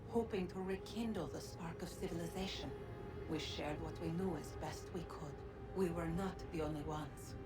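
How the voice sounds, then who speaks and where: Woman acting a solemn old-world goddess from a game, lowish voice, formal and measured, music behind her. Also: close to an everyday voice, low-quality recording. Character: ancient female godlike precursor, grave and regretful. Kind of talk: dramatic video game cutscene acting.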